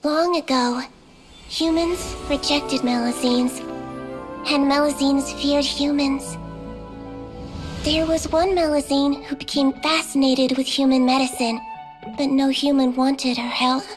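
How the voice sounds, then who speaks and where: A woman voicing a small, gentle young girl nurse of a fairy-like species, polite and composed, in anime video game dialogue. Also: high-pitched, level tone, a little slow. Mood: storytelling. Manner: composed, courteous, matter-of-fact. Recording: music bed behind the voice.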